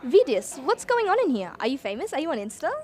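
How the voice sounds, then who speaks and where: An actress with an Australian accent playing a curious, cheeky young time traveller in a radio play, narrating in a chatty, wide-eyed way. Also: female voice, sits high, very animated, fast talker. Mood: puzzled, confiding. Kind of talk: radio play acting, breathless first-person narration.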